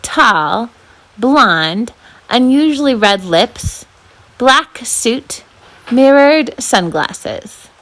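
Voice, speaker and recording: A woman reading aloud as a volunteer storyteller, with an expressive, dramatic delivery. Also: fairly high pitch, very slow, rough recording. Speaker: volunteer children's book reader.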